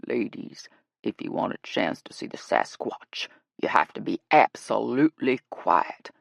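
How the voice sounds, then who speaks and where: A woman voicing an earnest, stern male wilderness guide in an audiobook, raspy, firm and formal. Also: rumbling low, animated, unhurried, no room sound, studio quality, pitched below the performer's own voice, exaggerated voice. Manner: firm, formal, self-serious. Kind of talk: audiobook character acting.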